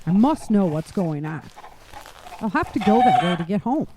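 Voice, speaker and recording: A woman's voice: medium pitch, big pitch swings, normal speed, close to an everyday voice. A woman playing a determined, restless survivor in an audio drama. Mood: polite, restless. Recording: clearly noisy, clicks and farm animal sounds.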